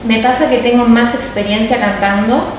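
A woman, an actress and singer answering interview questions softly and hesitantly. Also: a little high, steady tone, normal speed. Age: 30s. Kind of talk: casual interview. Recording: roomy echo, far from mic, noisy.

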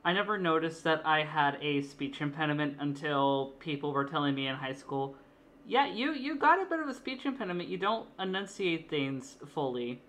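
A woman's voice: sits low, big pitch swings, moderate pace, a bit bright, slight background noise. A woman chatting casually and laughing easily, with a laid-back, self-deprecating way of talking. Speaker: streamer drawing a guest. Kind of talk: casual chat.

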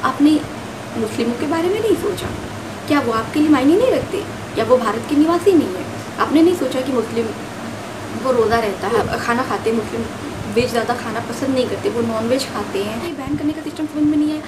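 A young woman speaks in a fairly high voice, ranting emphatically to camera. She repeats herself and fires off rhetorical questions. The phone recording is clearly noisy and sounds a little distant from the mic. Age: young adult. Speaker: vlogger. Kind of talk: opinionated rant to camera.

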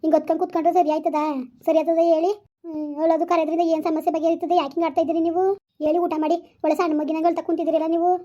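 A woman performs an anxious, scolding wife from a family melodrama in a rural southern Karnataka accent. Her voice is extremely high and soft-edged rather than bright, pleading and nagging in questions. The recording is dull and lo-fi. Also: level tone, rapid-fire. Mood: worried scolding.

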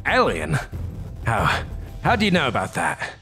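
Menacing cartoon gunman, played by a man: medium-pitched, sneering, taunting, animated intonation. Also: measured pace, vocal fry, heavily acted. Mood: threatening, taken aback. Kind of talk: animated comedy dialogue.

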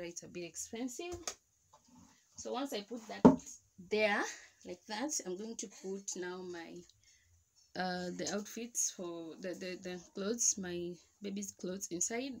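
A young woman talks into a phone held close while she works at home. Her voice sits in a middle pitch, and she explains each step in a loose, chatty way. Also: noisy background. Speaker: vlogger. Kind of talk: casual home how-to demonstration. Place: indoors at home beside a washing machine.

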